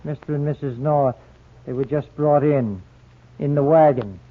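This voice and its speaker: An actor playing a plain-spoken, dutiful American police sidekick with a folksy, slangy manner in a 1940s radio drama. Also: male voice, a little high, moderate pace, natural voice. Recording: tinny, distant 1940s radio recording.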